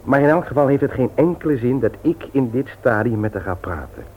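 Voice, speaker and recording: An actor in a radio play, playing an earnest family man who speaks frankly and in a measured way. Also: mid-range voice, lively intonation, natural voice. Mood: earnest, admonishing. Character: Willem, earnest frank friend, husband and doting father. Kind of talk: Dutch radio play acting. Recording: tinny, noisy old radio play recording.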